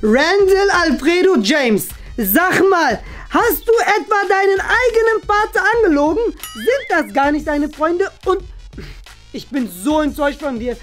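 A man's voice: very high-pitched, lively intonation, a little slow, fairly bright tone, faint music behind, exaggerated voice. A man playing a chatty, rambling father who dotes on his son and boasts about him in an improvised comedy sketch. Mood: outraged, disappointed. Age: young adult. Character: chatty, boastful, doting father visiting his son's classroom. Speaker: performer in a school comedy sketch. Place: small bright classroom indoors.

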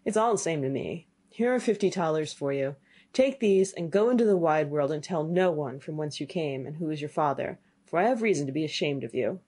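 A woman voicing a stern, disappointed old father in a low, heavy way. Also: animated, brisk, dark tone, husky. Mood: weary.

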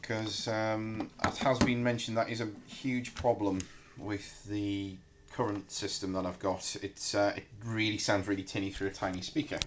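A man giving a casual, halting demonstration at a workbench, recorded on a handheld camera. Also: lowish voice, level tone. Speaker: hobbyist electronics builder presenting. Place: indoors at a cluttered workbench. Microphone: handheld camera microphone. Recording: noisy handheld camera in room.

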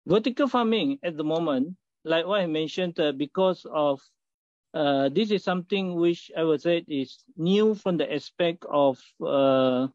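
A middle-aged man from Malaysia, a company executive, talking haltingly with many filler sounds in a remote interview over a video call. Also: on the high side, darkish tone, no room sound. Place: indoor office. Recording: muffled dry video call audio.

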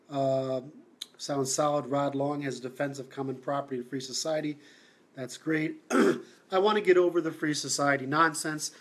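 A man from Seattle hosting a livestream, answering comments in a halting, blunt way with many fillers and restarts. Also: medium pitch. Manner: blunt, opinionated. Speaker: livestream host.

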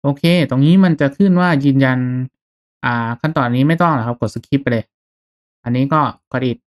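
A man narrating a step-by-step software tutorial in a casual, conversational, instructional way. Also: medium pitch, normal speed. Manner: instructional, conversational with polite particles. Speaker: tutorial host.

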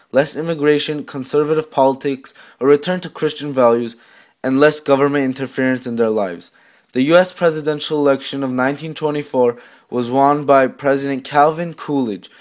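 Mid-pitched man reading scripted radio news. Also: darkish tone. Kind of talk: scripted narration, read aloud.

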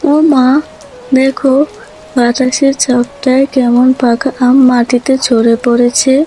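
A woman voicing an eager little village girl who speaks in an earnest, lively way. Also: high voice, takes it slowly, a little hiss or hum, poor audio.

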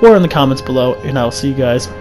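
A man's voice: medium pitch, expressive, fast, music in the background. A young man with a sick, scratchy throat, reading a game lore script aloud and often stumbling over words. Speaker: lore video narrator. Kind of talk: read-aloud lore narration over gameplay.

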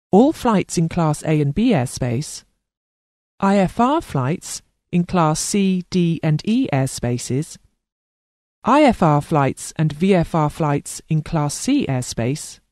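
A training course narrator reading scripted instructional material in a formal, measured way, enunciating lists carefully. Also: mid-range voice, darkish tone, no echo, studio quality.

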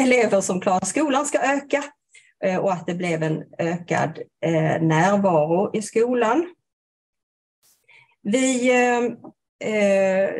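A woman presents at a seminar over a video call, pausing often with fillers and restarting her sentences. Her voice sits at a middle pitch. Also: darkish tone. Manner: hesitant, many fillers and restarts. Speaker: development leader for children and youth.

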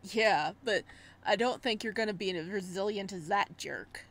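A woman's voice: mid-range voice, normal speed, natural voice. A woman voicing a sneering young university bully in a visual novel, taunting and threatening. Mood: menacing.

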